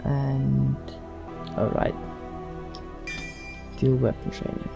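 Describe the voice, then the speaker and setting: A man's voice: medium pitch, level tone, extremely slow, vocal fry, lo-fi sound. A man hosting a casual let's play, musing aloud as he goes. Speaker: gaming channel host. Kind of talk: casual let's play commentary with some read-aloud roleplay. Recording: game music behind the voice.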